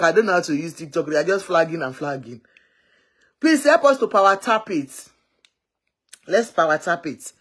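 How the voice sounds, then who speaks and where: Woman hosting a husky, chatty matchmaking stream. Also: sits low. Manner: rambling, often corrects herself mid-sentence. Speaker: host of a live matchmaking stream. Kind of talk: casual live-stream chat.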